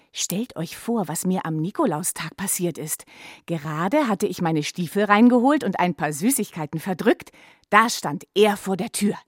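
A woman playing a chatty, curious reporter who narrates a children's radio play expressively, with a husky voice. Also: lowish voice, no echo, clean studio sound.

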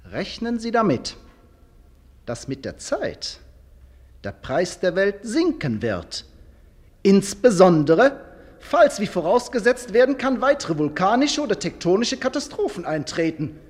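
A man's voice: high-pitched, huge pitch swings, unhurried, full character voice. An actor playing a suave, menacing male legal advocate, giving a persuasive formal oration in a radio play. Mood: coolly persuasive. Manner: rhetorical, persuasive, veiled menace.

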